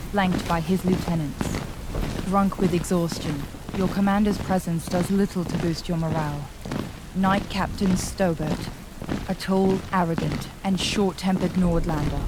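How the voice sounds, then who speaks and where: A woman with a fairly low voice narrates a scripted dark fantasy audio drama. Her storytelling is dramatic and descriptive, set over clear background music with rain.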